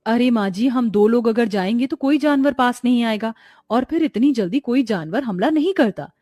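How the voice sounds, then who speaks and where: A woman's voice: fairly high pitch, expressive, normal speed, warm tone, no echo, clean studio sound. A woman voicing a practical young village wife in a storybook tale, reassuring and insistent.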